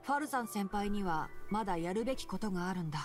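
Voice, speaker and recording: A woman plays an earnest young forest ranger with a boyish, medium-pitched voice. The delivery is soft, polite and thoughtful anime game acting, with faint music behind it. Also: animated, racing, natural voice.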